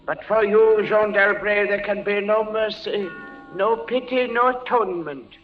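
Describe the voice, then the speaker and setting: An actor playing a stern, pitiless judge of the Inquisition, speaking gravely and with measured weight in 1940s radio style. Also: male voice, high-pitched. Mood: pronouncing. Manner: grave, measured, pronouncing sentence. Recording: dull old radio broadcast with music.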